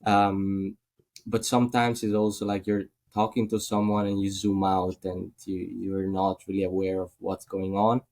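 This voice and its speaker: A man's voice: sits low, monotone, moderate pace, a little mellow. A man from Italy with an Italian accent, a guest in a casual podcast interview, speaking thoughtfully with many fillers.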